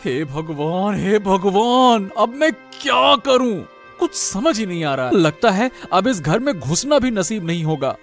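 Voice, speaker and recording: A helpless village husband from an animated moral tale, acted by a man. He complains and pleads in a high voice, with soft music underneath. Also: measured pace, few pauses, heavily acted. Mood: despairing. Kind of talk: Hindi animated moral story dialogue.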